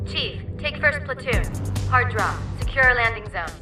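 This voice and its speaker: A woman's voice: a little high, animated, moderate pace, lightly acted. A woman playing a clever, composed female artificial intelligence in a video game, briefing precisely. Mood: brisk, instructing. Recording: echoing, slightly distant, music behind.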